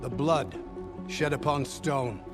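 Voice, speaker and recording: Guy doing proud clan chief in a game, pompous, talks real slow, music behind. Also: medium pitch, lightly acted. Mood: scornful, grand. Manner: pompous, measured, declamatory. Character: proud, scornful clan chieftain, stubborn and imperious. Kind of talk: video game cutscene acting.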